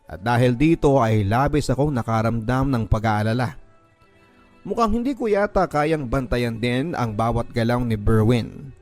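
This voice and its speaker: A man narrating a listener's letter in first person for a radio drama, reading it dramatically and with feeling, slowing down at the sad parts. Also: medium pitch, darkish tone. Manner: emotive, slowing on sad moments.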